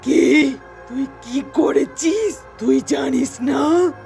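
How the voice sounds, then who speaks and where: A man voicing a grieving, vengeful dog owner in a cartoon, anguished and shouting. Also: extremely high pitch, extremely slow, vocal fry, faint music behind, full character voice.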